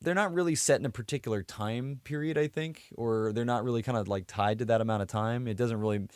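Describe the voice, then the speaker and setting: A man's voice: medium pitch, quick pace, clean tone, no echo, clean studio sound. A man co-hosting a podcast, chatting casually and thinking aloud with plenty of hedging. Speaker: podcast co-host. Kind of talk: casual chat.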